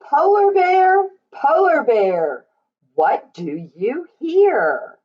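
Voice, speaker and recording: A woman reading a picture book aloud to young children, in a sing-song, drawn-out way with questions to her listeners. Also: sits high, very animated, dull audio.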